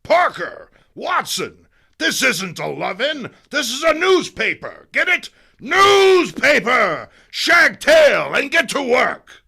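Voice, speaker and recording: A man's voice: very high voice, huge pitch swings, slow, gravelly, bright, forceful tone, husky, pitched above the performer's own voice, exaggerated voice. A man voicing a blustering, short-tempered newspaper publisher who barks exclamatory orders, in a comic book reading. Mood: furious.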